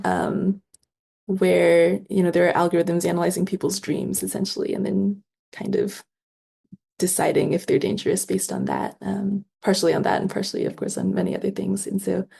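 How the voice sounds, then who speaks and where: Female author guest, husky and gravelly, thoughtful conversational interview delivery. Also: mid-range voice, fairly even intonation, moderate pace, dark tone.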